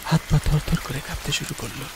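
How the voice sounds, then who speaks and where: A man's voice: a little high, level tone, fast talker, noisy background, close to an everyday voice. A man playing a frightened schoolteacher who tells his ghost story in the first person, with dramatic delivery, for a horror cartoon. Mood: terrified. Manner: dramatic, fearful, pleading when in dialogue. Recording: music bed under the voice. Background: music.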